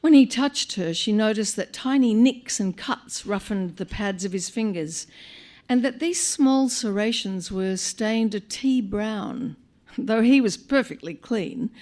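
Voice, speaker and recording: A woman's voice: mid-range voice, darkish tone. Woman reading a wry short story live. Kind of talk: live literary short story reading, expressive comic narration. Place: live on stage at a performance venue.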